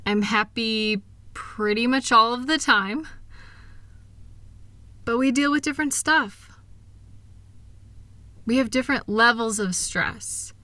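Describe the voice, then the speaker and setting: A woman playing a kind, reassuring wife who gently and earnestly encourages her partner in an intimate one-sided roleplay audio. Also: on the high side, slow, pauses often, no echo. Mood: understanding, reassuring.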